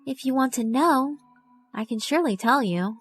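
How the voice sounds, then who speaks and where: A woman's voice: high-pitched, lively intonation, moderate pace, breathy edge, voice pushed up. A woman voicing a mysterious schoolgirl in a visual novel, knowing, teasing and uncanny. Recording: music behind the voice.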